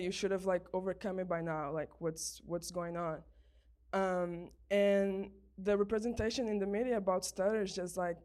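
A woman's voice: mid-range voice, a little mellow. Young woman from brazil raised in portugal, stutters a lot, lots of um and like, telling her own story live. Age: young adult. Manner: stutters with repeated sounds, many fillers. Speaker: guest speaker. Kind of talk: personal talk at a live event.

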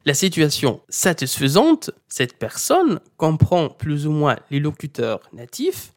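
A language teacher giving a didactic talk, speaking straight to the learner and insisting on key points by repeating them. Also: medium pitch. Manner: speaks directly to the listener as "tu". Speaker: Arabic teacher.

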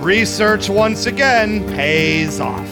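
A man's voice: sits high, animated, takes it slowly, gravelly, over loud music, full character voice. An actor playing a shady, smug vampire businessman who narrates his audio drama with sly, mock-polite theatricality. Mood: gloating. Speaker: narrating lead character.

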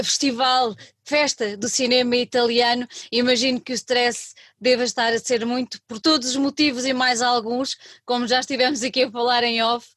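A woman host with a European Portuguese accent, chatty and quick to laugh, interviewing a guest. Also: a little high, no echo, studio quality. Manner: chatty, warm laughter, many restarts. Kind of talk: radio interview.

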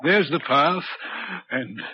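Casting: lonely, courteous signalman, highish voice, grave and halting, vintage radio drama with muffled sound. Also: expressive. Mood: uneasy, probing. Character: lonely, haunted railway signalman, brooding and courteous. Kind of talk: 1940s radio drama acting. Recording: dull, noisy 1940s radio recording.

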